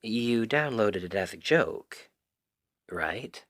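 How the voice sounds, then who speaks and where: A man's voice: on the low side, lively intonation, slow pace, fairly bright tone, no room sound, clean studio sound, full character voice. A man playing an exasperated, secretly smitten young Cupid best friend in a conversational, emphatic ASMR roleplay. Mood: incredulous, annoyed. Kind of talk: ASMR roleplay audio drama, one-sided conversation.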